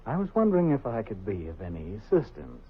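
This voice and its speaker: An actor playing a gruff, wisecracking male hotel night clerk in 1940s radio style. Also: fairly low pitch, huge pitch swings, fast talker, exaggerated voice. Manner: gruff, wisecracking, then leering. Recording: tinny old radio broadcast with hiss.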